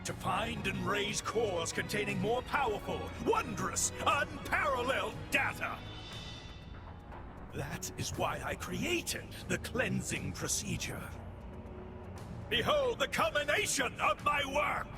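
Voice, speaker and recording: A man's voice: high-pitched, expressive, slow, over loud music. An actor playing a pompous, fanatical male game villain with a god complex, declaiming grandly. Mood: grandiose, raving. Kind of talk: dubbed video game cutscene acting.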